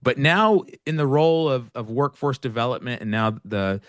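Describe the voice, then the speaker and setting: A man from Oakland hosting an interview podcast, asking measured, friendly questions and sometimes repeating words. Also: mid-range voice, animated, fairly bright tone, no room sound, clean studio sound. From Oakland, California. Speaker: podcast host.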